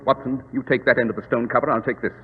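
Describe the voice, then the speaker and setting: Guy playing a self-assured british detective in an old radio play, husky, clipped n condescending, muffled reverby sound. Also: medium pitch, steady tone, very fast, soft background music, close to an everyday voice. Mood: cool, authoritative. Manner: clipped, commanding, precise, condescending. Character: brilliant, self-assured English male detective, coolly logical. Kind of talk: old-time radio drama acting.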